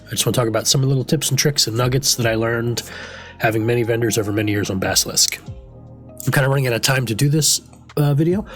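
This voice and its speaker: A man's voice: medium pitch, fairly bright tone. Man, stuffy nose, rambling, offhand, casual swearing, game tutorial at his desk, faint music behind. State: stuffy from a cold. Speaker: game vlogger.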